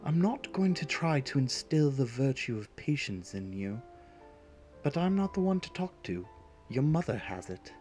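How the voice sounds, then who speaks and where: A man's voice: medium pitch, sing-song, moderate pace, darkish tone, soft background music, lightly acted. A man playing a cautious, dryly exasperated inventor father in an audio drama.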